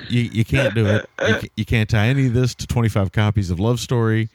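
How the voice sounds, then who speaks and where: A man's voice: fairly low pitch, fairly even intonation, quick pace, no room sound. A man co-hosting a podcast, reviewing a film in casual, rambling, amused talk. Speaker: podcast co-host. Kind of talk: casual movie review chat.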